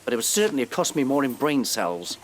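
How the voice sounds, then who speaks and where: An actor playing a grumpy, snooty traveller in a comedy audio play, dry, curt and pedantic. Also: male voice, mid-range voice, big pitch swings, quick pace, lightly acted. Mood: irritated, sarcastic.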